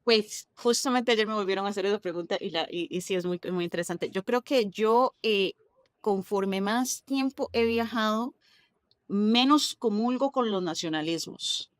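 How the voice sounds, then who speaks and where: A woman's voice: medium pitch, moderate pace, dry recording, studio quality. A woman with a Costa Rican accent, a novelist chatting as a guest in an interview and dropping local slang. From Costa Rica. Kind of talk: casual interview conversation.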